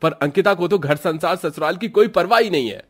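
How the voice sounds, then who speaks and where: A man's voice: sits high, a little fast, fairly bright tone, husky. A man voicing an indignant, confrontational husband defending his wife in a moral cartoon story. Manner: stern, confrontational.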